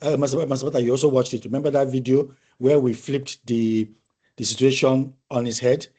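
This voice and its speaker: Dark-voiced male lecturer, thoughtful, restarting phrases. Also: medium pitch, fairly even intonation, fairly quick, breathy edge. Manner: thoughtful, repeats and restarts phrases while explaining. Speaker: university lecturer. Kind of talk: conversational online class discussion.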